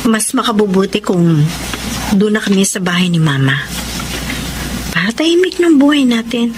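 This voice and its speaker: An actress playing a gentle, resilient separated young mother in an earnest, respectful Tagalog radio drama style. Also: mid-range voice, lively intonation, normal speed, noisy background, close to an everyday voice. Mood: sad, resigned. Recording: radio drama with music underneath.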